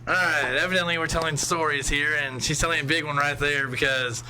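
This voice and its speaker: Bright-voiced, easygoing man. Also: fairly high pitch, fairly even intonation, a little fast, slight background noise, rough recording. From California. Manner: rambling, many uh fillers, easygoing. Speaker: host. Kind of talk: casual storytelling on a livestream.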